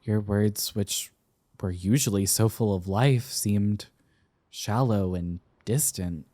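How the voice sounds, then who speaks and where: A man plays a college-age boyfriend, flustered, teasing and soft-hearted, in an intimate roleplay. His voice is on the low side and dark, recorded close and dry. He speaks slowly, stumbling shyly over his words. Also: no echo. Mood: earnest, wistful. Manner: hesitant, stammering, soft-hearted. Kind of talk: intimate romantic roleplay ASMR.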